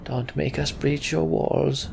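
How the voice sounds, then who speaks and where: A man's voice: a little high, steady tone, takes it slowly, vocal fry, darkish tone, slight background noise, pitched above the performer's own voice, heavily acted. A man voicing a defiant, scornful rebel leader in a fantasy game. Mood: taunting, menacing.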